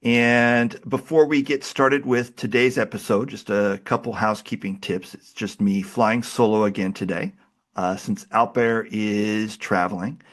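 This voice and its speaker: A man hosting a podcast while he has a cold, talking in a relaxed, chatty and self-deprecating way. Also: lowish voice, measured pace. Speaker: podcast host. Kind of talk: casual interview chat.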